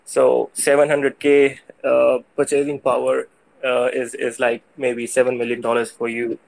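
A man with a Pakistani accent, speaking English as a second language, tells his story haltingly as a guest on a live audio show. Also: mid-range voice, monotone, vocal fry, a little mellow, low-quality recording. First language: not English. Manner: halting, hesitant, frequent hedging. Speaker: guest caller.

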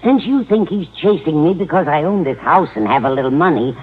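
An actress playing a fluttery, well-meaning older landlady with a husky voice and motherly fussing, in vintage radio sitcom style. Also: low voice, expressive, a little fast. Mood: earnest, fussing. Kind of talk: 1950s radio sitcom acting. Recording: tinny old radio with audience.

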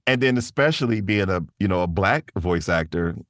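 A man's voice: low-pitched, expressive, normal speed, a bit bright, no room sound, clean studio sound. A man talking casually and thoughtfully as a guest in an interview about his work, often restarting his phrases. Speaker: voice actor, podcast guest. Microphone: close studio microphone in a vocal booth.

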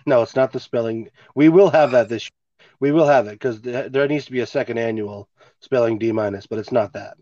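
A man's voice: mid-range voice, a little fast, mellow, no echo, highs cut off. A man hosting a casual podcast from home with a stuffy nose, laid-back and swearing casually. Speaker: podcast host. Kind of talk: casual chat. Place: home, recording remotely. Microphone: remote home mic.

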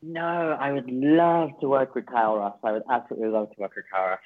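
A man's voice: medium pitch, fairly even intonation, quick pace. Playful man on a phone line. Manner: gushing, playful. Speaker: awards organizer. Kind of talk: interview chat.

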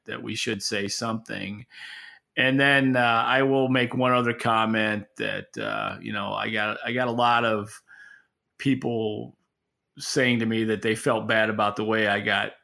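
A man's voice: mid-range voice, vocal fry, pushed and bright. A middle-aged American man co-hosting a podcast, speaking casually and off the cuff in a rambling, stop-start, plain-spoken way. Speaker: podcast co-host. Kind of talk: casual unscripted market talk.

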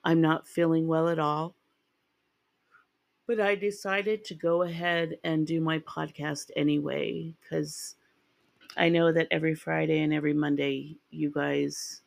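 Woman podcast host, sick and raspy, rambling casual delivery, dry close mic. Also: sits low, dry recording. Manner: rambling and chatty, with ums and restarts. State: raspy from a sinus infection. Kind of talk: casual true-crime podcast narration.

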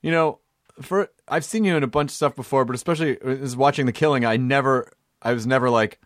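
A man's voice: medium pitch, quick pace, no echo, studio quality. A man hosting a casual, playful interview, often repeating himself for effect. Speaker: interviewer, show host. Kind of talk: casual joking interview chat.

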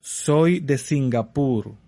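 Male translation reader for a language course: mid-range voice, measured delivery, dry sound. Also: medium pitch, expressive, warm tone, dry recording. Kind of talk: reading translated phrases for learners.

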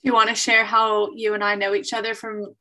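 A woman's voice: medium pitch, little pitch movement, a little fast, bright, forceful tone, rough recording. A woman co-hosting a podcast who asks loose, rambling questions full of fillers. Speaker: podcast co-host. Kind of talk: casual podcast chat.

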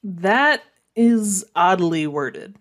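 A worldly galaxy-roaming adventurer acted by a woman in a sci-fi radio drama. Her voice is medium in pitch, with a calm, measured and reserved delivery.

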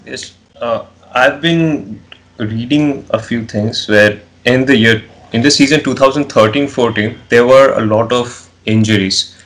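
Indian accent guy doing the interview, casual, lots of "like", mid pitch. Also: unhurried, a bit bright, rough recording. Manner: casual, fills with "like". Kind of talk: interview questions.

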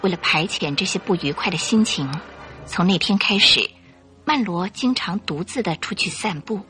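A woman narrating a Chinese radio detective drama in a measured, suspenseful storytelling style. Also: medium pitch, expressive, pushed and bright. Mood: suspenseful narration. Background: faint music.

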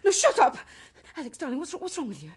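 British mother, animated and high. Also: fast. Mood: alarmed. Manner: maternal, expressive. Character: fussing, chiding suburban mother, easily alarmed. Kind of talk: British radio horror drama acting.

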